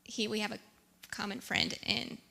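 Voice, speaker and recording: A woman's voice: medium pitch, little pitch movement, moderate pace, vocal fry, pushed and bright. A woman missionary telling a story in a casual, chatty way to a church. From United States. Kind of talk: church presentation. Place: church sanctuary.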